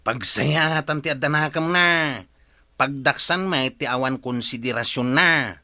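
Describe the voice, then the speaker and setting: Earnest village official type, indignant, sharp mid-pitched voice, radio comedy drama. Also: male voice, unhurried. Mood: reproachful. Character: earnest, indignant village man upholding barangay ordinance.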